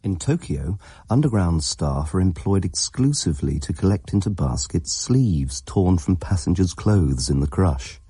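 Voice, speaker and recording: A man playing a measured, detached narrator in a radio drama. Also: low voice, lively intonation, noisy background. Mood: matter-of-fact.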